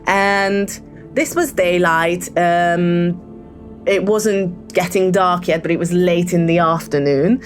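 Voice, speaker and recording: A woman's voice: fairly low pitch, steady tone, takes it slowly, soft background music. A woman telling a true story in a voicemail, hesitant and full of ums and restarts. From Hungary. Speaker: caller leaving a voicemail. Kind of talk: casual spoken true story.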